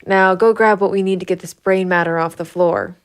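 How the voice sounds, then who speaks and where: A woman playing a hard-bitten, brooding female private investigator in a noir audio drama, with grim, measured delivery. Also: fairly low pitch, fairly even intonation, smooth, close to an everyday voice. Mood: firm. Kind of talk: noir first-person narration and audio drama dialogue. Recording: music behind the voice. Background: music.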